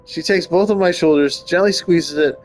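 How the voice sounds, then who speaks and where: Higher-voiced man reading a visual novel aloud. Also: normal speed, darkish tone, faint music behind, low-quality recording. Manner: nitpicking, wry asides. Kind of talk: reading narration aloud with casual riffing commentary.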